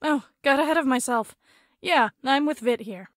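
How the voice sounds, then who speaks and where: A woman's voice: high-pitched, normal speed, no echo, clean studio sound, close to an everyday voice. A woman playing an easygoing, teasing young female teammate in a visual novel, with a casual, breezy delivery. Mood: relieved, casual. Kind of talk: visual novel character acting.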